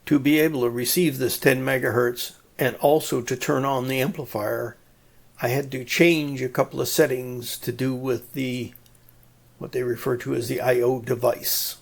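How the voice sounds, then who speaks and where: Creaky-voiced man explaining hobby electronics. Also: mid-range voice, fairly even intonation, moderate pace. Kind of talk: explanatory DIY electronics narration.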